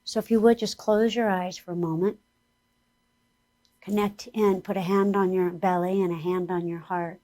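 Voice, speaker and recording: A woman with a hoarse voice leading a gentle, earnest guided spiritual exercise as a spiritual teacher. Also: lowish voice, steady tone. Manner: slow, gentle and soothing guidance; earnest, speaks about Spirit and angels.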